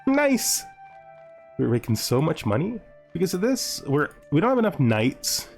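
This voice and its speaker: A male gaming host with a slightly high voice talks through his moves as he plays. His intonation swings widely as he thinks aloud and slips into playful, gloating asides in character. Music plays faintly behind him. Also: huge pitch swings, a little slow, creaky. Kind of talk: casual gameplay commentary with playful in-character asides.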